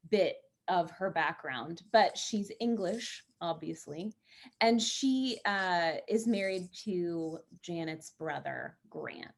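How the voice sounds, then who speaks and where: A woman, an actress, chatting informally and a little haltingly about her work on a panel. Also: medium pitch. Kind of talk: casual panel talk about a role.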